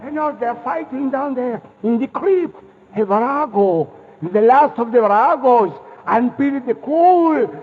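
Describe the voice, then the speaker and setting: An actor playing a brooding old castle servant in a 1940s radio drama, with a theatrical, ominous manner. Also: male voice, extremely high pitch, expressive, slightly rough, exaggerated voice. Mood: frenzied warning. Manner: theatrical, ominous, slow delivery. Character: brooding, cackling old Spanish castle servant, ghost-obsessed. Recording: muffled old radio recording, faint noise.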